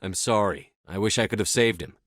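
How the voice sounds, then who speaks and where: A man acting in a video game as a commanding, resolute space soldier, speaking firmly with measured authority. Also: on the low side, a bit bright, dry recording, clean studio sound, lightly acted. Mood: firm, persuasive. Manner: firm, authoritative, measured delivery. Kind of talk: scripted game dialogue acting.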